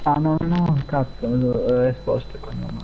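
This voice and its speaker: A man's voice: medium pitch, normal speed, vocal fry, highs cut off, noisy background. A man improvising roleplay in an online game over voice chat. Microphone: gaming voice chat.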